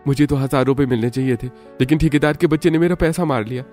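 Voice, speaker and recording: A man's voice: mid-range voice, quick pace, darkish tone, faint music behind, lightly acted. A man playing a poor, earnest young labourer in a cartoon story, worried and pleading. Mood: frustrated.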